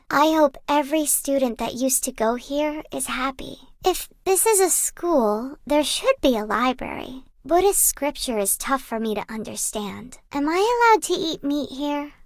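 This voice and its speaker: A woman playing a gentle, bookish young librarian in a video game, wistful and earnest, like someone telling stories. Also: high voice, dry recording. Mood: gentle, uneasy. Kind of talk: video game character voice lines.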